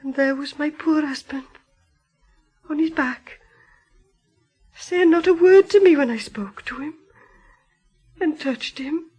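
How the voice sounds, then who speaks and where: A grieving country wife in a radio drama. Her voice is strikingly high. She tells her story in halting, careful detail, bewildered by loss. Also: dark tone, poor audio. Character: grieving rural farmer's wife recounting her husband's death.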